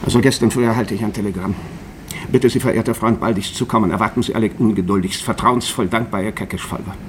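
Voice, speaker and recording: A man's voice: fairly low pitch, fairly even intonation, fast talker, a little hiss or hum, close to an everyday voice. A man playing a businesslike, impatient doctor in a radio drama, with a clipped, dry, sardonic manner. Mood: brisk, sardonic. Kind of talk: German radio drama acting.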